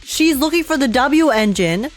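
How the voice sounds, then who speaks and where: Female game streamer, slightly high voice, slangy and excitable casual commentary over faint music. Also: normal speed. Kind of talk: casual live reaction commentary.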